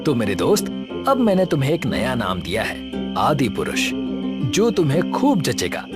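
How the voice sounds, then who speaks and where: A man's voice: mid-range voice, moderate pace. An actor playing an earnest, good-hearted and easily startled young man in an audio story. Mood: resolved, friendly. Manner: expressive, stammers when frightened. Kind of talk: audio story character acting. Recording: music bed behind the voice.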